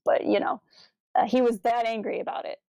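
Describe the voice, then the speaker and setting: A woman's voice: on the high side, fairly even intonation, fairly quick, creaky, warm tone, dry recording, lo-fi sound. A woman co-hosting a podcast, talking through ideas in a casual, discursive way. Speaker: podcast co-host.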